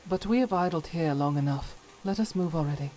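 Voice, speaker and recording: An actress playing a devout, troubled warrior woman in a fantasy game, solemn and earnest with halting delivery. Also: low voice, warm tone, a little hiss or hum, close to an everyday voice, low-quality recording. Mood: resigned. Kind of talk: dramatic fantasy game voice acting.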